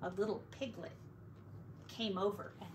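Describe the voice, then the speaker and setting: A woman teacher reading a picture book aloud to children, slow and expressive. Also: mid-range voice, noisy background.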